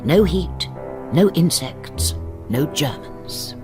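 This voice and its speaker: Measured, wry spinster narrator, unhurried, expressive, radio play. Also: female voice, sits low. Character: reflective spinster woman narrating her journey. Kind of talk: scripted narration. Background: music.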